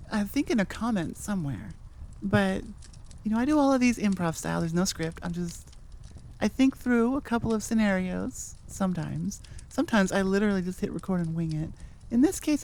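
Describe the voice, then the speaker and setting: A woman's voice: medium pitch, expressive, normal speed, gravelly, darkish tone. A woman who makes audio roleplays, chatting informally and without a script to her listeners in a rambling way. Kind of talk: casual unscripted chat to listeners.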